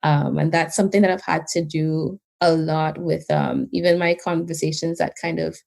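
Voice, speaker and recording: A Trinidad-born woman whose accent now mixes Canadian and island sounds talks in a low, slightly gravelly voice. She is measured and calm as an interview guest, with frequent light laughter. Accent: Trinidadian mixed with Canadian. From Trinidad and Tobago. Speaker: guest, behaviour analyst. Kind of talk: casual interview conversation.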